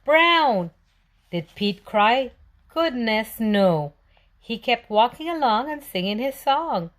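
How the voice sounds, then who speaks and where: A woman's voice: fairly high pitch, lively intonation, slow pace, fairly bright tone. A woman reading a storybook aloud for young children, expressively asking questions and answering them. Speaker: children's read-aloud presenter.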